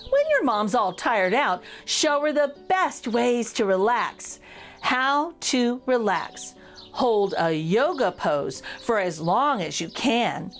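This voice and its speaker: Woman, children's story reader. Higher-pitched, unhurried delivery, playful and expressive, talking directly to kids. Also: faint music behind. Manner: addresses young listeners directly, playful. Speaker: picture-book reader for children. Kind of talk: expressive children's read-aloud.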